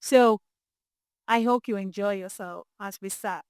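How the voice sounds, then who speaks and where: A Nigerian woman presenting as a podcast host, introducing an interview in an expressive, emphatic way. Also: mid-range voice, measured pace, smooth, no room sound, clean studio sound. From Imo State, Nigeria.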